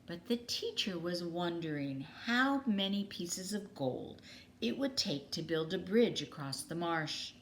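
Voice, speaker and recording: A woman storyteller with a slightly low voice reads a children's story aloud. Her delivery is expressive and very varied in pitch, with dramatic pauses, recorded with faint background noise.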